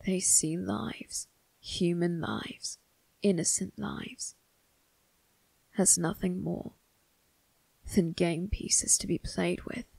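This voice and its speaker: A woman performs a young male vampire crime boss for an ASMR roleplay, cold and brooding yet protective. The voice is low and gravelly, with very slow, formal, menacing phrasing that sinks into breathy whispers, recorded close and dry. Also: fairly even intonation, mellow, no room sound, heavily acted, low-quality recording. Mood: cold, menacing, bitter. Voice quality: raspy, breathy, dropping into whispers. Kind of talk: ASMR roleplay, dramatic monologue addressed to listener.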